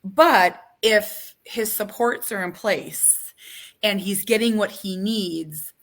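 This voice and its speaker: A woman hosts a relaxed interview with a bright, forceful tone. She speaks candidly and emotionally about her son, stopping and restarting as she searches for words. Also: medium pitch, slow pace. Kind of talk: casual conversational interview.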